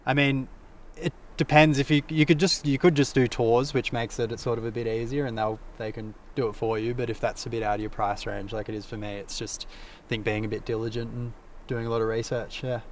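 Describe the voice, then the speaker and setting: A man's voice: medium pitch, steady tone, brisk. Young aussie guy, laid back, lots of ums, a bit far from the mic outdoors w some background noise. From Australia. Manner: laid-back, hesitant, many fillers. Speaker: interviewed traveler. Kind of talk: casual interview. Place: outdoors by a mountain lake.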